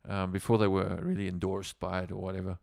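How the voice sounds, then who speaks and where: A man's voice: sits low, little pitch movement, fast talker, vocal fry, darkish tone, no echo, studio quality. A South African man, native Afrikaans speaker, a musician telling stories on a casual livestream in a rambling way with lots of fillers. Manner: rambling, with many fillers and restarts.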